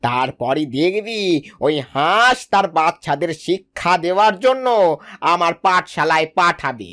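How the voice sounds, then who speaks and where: A man's voice: high-pitched, sing-song, unhurried, sharp tone, full character voice. A man voicing a sly, scheming male fox in a cartoon, speaking in a smug, crafty way. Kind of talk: cartoon voice acting.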